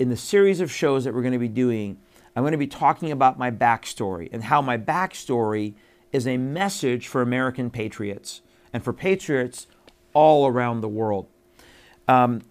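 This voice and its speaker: Middle-aged host, from Iran, mid-pitched, emphatic delivery, lots of uh's and restarts. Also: male voice. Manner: emphatic, repetitive, with many fillers. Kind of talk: earnest monologue to camera.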